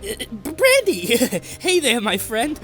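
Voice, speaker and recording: A woman voicing a mischievous male green-haired imp in a rhyming Christmas story, excitable and whiny. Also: on the high side, slow, faint music behind, voice pushed up, full character voice. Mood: nervous.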